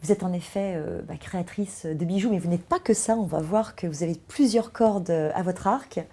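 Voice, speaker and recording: A woman's voice: fairly low pitch, normal speed, mellow. A woman hosting an interview, asking friendly questions with frequent hesitations. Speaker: host.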